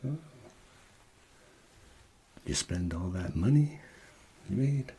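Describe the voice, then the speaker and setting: A man playing a shady, chatty small-time tax man in a soft-spoken ASMR roleplay, murmuring and rambling hesitantly. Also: sits low, expressive, takes it slowly, slightly rough, heavily acted. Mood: chatty, unhurried.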